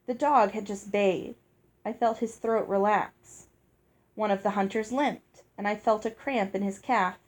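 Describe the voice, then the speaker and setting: Woman reading a storybook aloud, medium pitch. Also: fairly even intonation, measured pace. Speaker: audiobook reader. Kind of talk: expressive scripted storybook narration.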